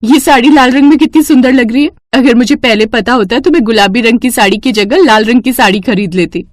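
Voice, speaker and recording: A woman's voice: on the high side, fairly quick, no room sound, clean studio sound, close to an everyday voice. A woman voicing a resourceful young daughter-in-law in a cartoon story, eager, respectful and reassuring. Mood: pleased.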